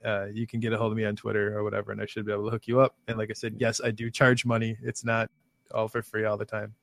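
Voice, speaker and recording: A man with a gravelly, lowish voice who talks hesitantly and fills the gaps with ums. He is a guest on a casual podcast. The sound is close and dry. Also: completely flat delivery, quick pace, dry recording. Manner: hesitant, lots of fillers. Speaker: guest artist.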